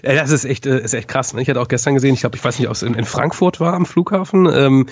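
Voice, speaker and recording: Ein erkälteter Mann mit norddeutschem Akzent, Co-Gastgeber, der zögerlich und mit vielen Füllwörtern plaudert. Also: male voice, medium pitch, quick pace, slightly rough, dry recording, highs cut off. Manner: hesitant, many fillers. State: stuffy nose from a cold. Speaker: podcast co-host. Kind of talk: casual chat.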